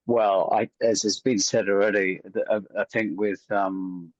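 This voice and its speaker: A man answering haltingly and at length as a guest, over muffled online call audio. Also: fairly low pitch, steady tone, no room sound. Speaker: actor, interview guest. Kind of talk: casual interview answer.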